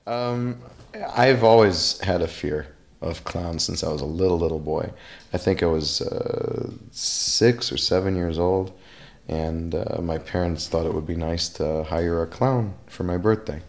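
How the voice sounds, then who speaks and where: A man with a low voice tells a personal anecdote. He is casual and conversational, pausing a little as he goes. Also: vocal fry.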